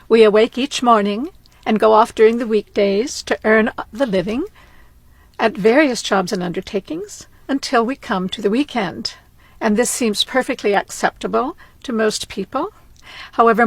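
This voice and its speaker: A woman giving a measured, wordplay-heavy spoken-word lecture that runs into rhyme. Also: mid-range voice, no room sound.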